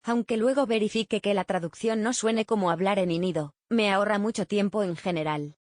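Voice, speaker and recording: A woman with a mid-range voice reads prepared text in a plain, steady manner. The recording is dry and close, with no room sound around her. Her delivery stays level and matter-of-fact. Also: medium pitch, dry recording. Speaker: reader of survey answers. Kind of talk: scripted reading.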